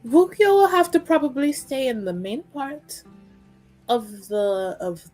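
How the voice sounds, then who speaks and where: Casting: woman as kind, proper noblewoman for fantasy game, gentle explainer, high-pitched. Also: big pitch swings, unhurried, soft background music, exaggerated voice. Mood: hesitant, tactful. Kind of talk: in-character tabletop roleplay.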